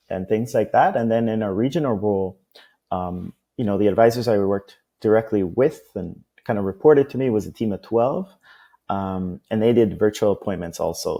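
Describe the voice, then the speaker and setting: A man's voice: lowish voice, creaky, warm tone, slightly rough. A man from Costa Rica, a guest on a business interview, talking at length in a loose, rambling way. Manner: talkative, many fillers and restarts.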